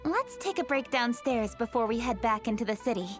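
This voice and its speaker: Woman as a high-voiced, composed young noblewoman. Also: animated, a little fast, over music, natural voice. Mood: businesslike. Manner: polite, decisive, formal. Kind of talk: video game voice acting.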